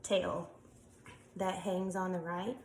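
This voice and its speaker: Congested woman with a lowish voice, talking through a hands-on demo with ums; echoey room, faint noise. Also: little pitch movement, slow. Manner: chatty, explains while working with hands, frequent ums. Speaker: craft tutorial maker. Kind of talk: casual step-by-step demonstration.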